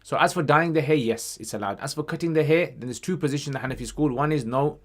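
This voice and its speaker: A man's voice: on the high side, quick pace. A man hosting a casual livestream Q&A, reading out questions and answering conversationally, with Arabic phrases sprinkled in.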